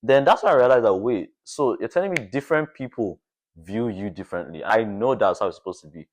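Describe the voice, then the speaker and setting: A young man chatting casually as a podcast guest, thinking aloud in a halting, anecdotal way. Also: lowish voice, animated, a little hiss or hum. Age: young adult. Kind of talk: casual chat.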